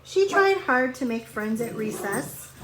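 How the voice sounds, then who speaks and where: A woman's voice: on the high side, expressive, measured pace, noisy background. A woman teacher reading a storybook aloud to young children, expressively, with conversational asides and questions.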